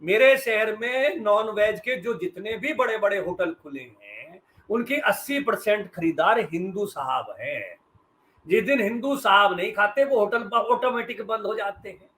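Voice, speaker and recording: Man, middle-aged, high pitch, sarcastic, emphatic, rhetorical, political panel commentary. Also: expressive, measured pace, fairly bright tone. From western Uttar Pradesh, India. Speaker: senior journalist, debate panelist.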